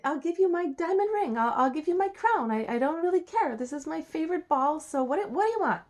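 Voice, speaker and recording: A woman's voice: very high-pitched, expressive, fairly quick, a little mellow, voice pushed up. A woman voicing a spoiled young princess, bossy, disgusted and protesting, in a playful fairy tale. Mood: impatient bargaining.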